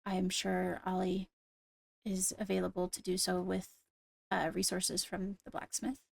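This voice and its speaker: A woman playing a poised royal ruler in an improvised game roleplay, speaking formally and carefully with pauses to find words. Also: on the low side, completely flat delivery, gravelly, no room sound, clean studio sound, heavily acted. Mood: composed, businesslike. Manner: measured, formal, hesitant between phrases. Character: poised female royal ruler addressing her court. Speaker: leader of the meeting.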